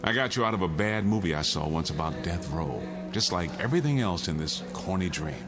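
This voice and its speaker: Low, haunted condemned man. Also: lively intonation, fairly quick. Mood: weary, agitated. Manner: intense, dwelling on each detail. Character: condemned man haunted by a recurring dream, brooding, fatalistic. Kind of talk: mid-century television drama acting. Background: dramatic music.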